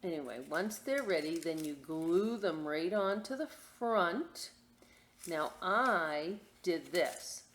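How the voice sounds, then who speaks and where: A woman hosting a children's story time and craft demonstration, chatting to young listeners in a playful, expressive, informal way with asides. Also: lowish voice, slow pace, slight background noise. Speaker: library story time host reading picture books and showing a craft.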